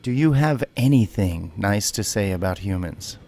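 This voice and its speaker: A man's voice: lowish voice, animated, normal speed, creaky, dark tone, slight background noise. A man hosting a gaming video, narrating casually and speaking voice commands to the game. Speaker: video host and gamer.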